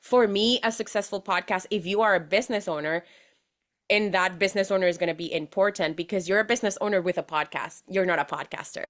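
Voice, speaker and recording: A young woman from Venezuela, a podcast manager, chatting as a guest in an animated way with fillers and restarts. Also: mid-range voice, quick pace. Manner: animated, laughs often, many fillers and restarts. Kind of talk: casual interview chat.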